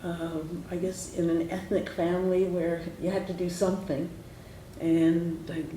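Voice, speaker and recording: A woman's voice: fairly low pitch, flat delivery, a little slow, mellow. A woman in her sixties from Hungary introducing herself at a roundtable, meandering and correcting herself as she goes. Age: 60s. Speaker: lawyer. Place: meeting room roundtable. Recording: echoey room, faint hiss.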